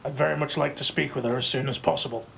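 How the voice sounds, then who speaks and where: An actor playing a brash, violent yet gruffly protective marshal in an audio drama, blunt and brusque in delivery. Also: male voice, mid-range voice, steady tone, quick pace, fairly bright tone, slight background noise.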